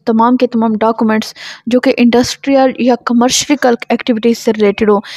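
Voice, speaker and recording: A woman's voice: a little high, darkish tone, no room sound. A woman with a Pakistani accent giving an explanatory law lecture, reading text aloud and explaining it in a didactic way, posing and answering her own questions.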